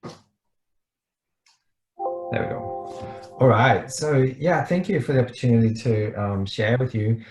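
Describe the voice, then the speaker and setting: A man's voice: medium pitch, lively intonation, unhurried, long pauses, soft background music. A man with an Australian accent giving an informal online presentation as a webinar guest, speaking casually with a slight tendency to mumble. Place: indoor room, online video call. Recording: roomy, echoey sound.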